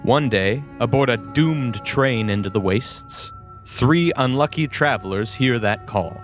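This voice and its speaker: A man's voice: medium pitch, unhurried, faint music behind. A man reading a dramatic scripted podcast trailer. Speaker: trailer narrator.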